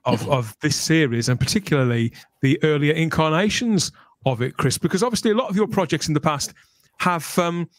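A man's voice: fairly high pitch, brisk, no room sound. A middle-aged man chatting enthusiastically and jokily as a podcast host, close to the microphone. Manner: enthusiastic, jokey, wordy. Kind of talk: chatty fan podcast talk. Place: small room at home, on a video call.

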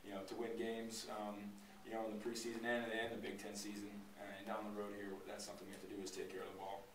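Young male athlete, fast low monotone, filler-heavy; distant camcorder in a press room. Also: echoey room, noisy background. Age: young adult. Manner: quick, rambling, many "uh" and "you know". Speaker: college basketball player. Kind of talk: postgame press conference answers. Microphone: distant camera across the room.

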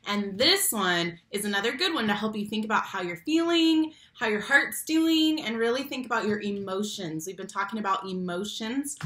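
A young adult woman reads a picture book aloud to young children, expressively, stressing key words and speaking to the listeners directly. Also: mid-range voice. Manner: animated, slow emphatic phrasing on key words, addresses children directly. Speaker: read-aloud teacher and storyteller. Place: small room at home, indoors. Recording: roomy home webcam sound.

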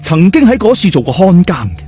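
A man's voice: high voice, wildly swooping pitch, quick pace, warm tone, soft background music. A man telling a ghost story in a dramatic, colloquial and suspenseful way.

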